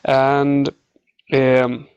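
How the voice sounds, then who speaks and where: Male Mexican scientist, native Spanish speaker, slow halting conversational delivery, medium pitch, dry sound. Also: completely flat delivery, darkish tone, clean tone, no room sound, highs cut off. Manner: hesitant, searching for words. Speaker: scientist, English learner being interviewed. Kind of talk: conversational interview answers.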